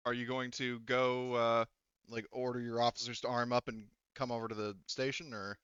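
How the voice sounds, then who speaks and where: A man's voice: mid-range voice, level tone, moderate pace, fairly bright tone, smooth, no echo, highs cut off. A man acting as game master, narrating a tabletop roleplaying session in a casual, informal way with frequent chuckles.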